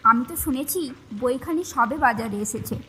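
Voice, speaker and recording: Mysterious lady of an old house, played by a woman: high-pitched, serious, formal, for a ghost story, with slight background noise. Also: expressive, moderate pace, fairly bright tone, rough recording. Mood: puzzled, dismissive. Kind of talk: audio horror story acting.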